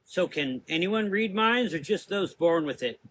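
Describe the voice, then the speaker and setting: A man's voice: high-pitched, lively intonation, measured pace, fairly bright tone, a little hiss or hum, pitched above the performer's own voice, rough recording. A man voicing a blunt, bewildered and protective young woman in a gothic audio drama, speaking colloquially.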